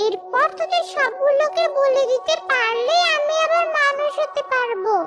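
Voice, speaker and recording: Woman voicing a high-pitched scheming ghoul, music behind. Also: normal speed. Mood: menacing. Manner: gloating, menacing. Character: scheming female ghoul hungry to trap children. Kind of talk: children's cartoon horror story acting.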